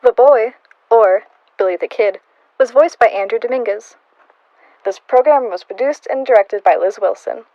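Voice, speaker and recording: Woman radio announcer, scripted credits, higher voice, dry muffled sound. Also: moderate pace, no echo.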